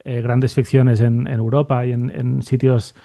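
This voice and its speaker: A man speaks in a mellow, relaxed voice at a medium pitch. He interviews conversationally, repeating words as he thinks aloud. The sound is close and dry. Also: a little slow, vocal fry, dry recording. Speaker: podcast host, university radio lecturer.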